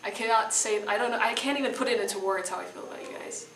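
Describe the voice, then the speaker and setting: A woman's voice: mid-range voice, quick pace, fairly bright tone, recorded from a distance. Emotional teenage girl, echoey noisy room. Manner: gushing, emotional. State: close to tears. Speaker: high school student actor. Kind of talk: casual interview reflection.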